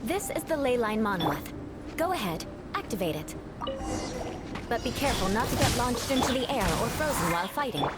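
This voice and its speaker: A woman's voice: a little high, big pitch swings, a little fast. A woman voicing a proud, composed young aristocrat in a video game, coaching others in a formal, faintly condescending way. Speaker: trainer. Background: game music.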